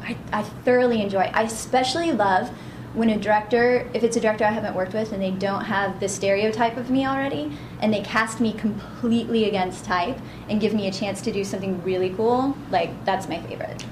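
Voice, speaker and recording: A woman's voice: mid-range voice, fairly quick. A woman with a young-sounding voice and a bubbly, upbeat manner, chatting as a voice actress in an interview. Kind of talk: casual interview chat. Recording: echoey room with background noise.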